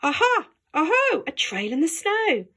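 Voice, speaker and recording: A woman voicing a small, brave, curious young monster girl in a children's storybook, exclaiming excitably. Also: very high-pitched, unhurried, pitched above the performer's own voice, full character voice. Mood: excited, curious.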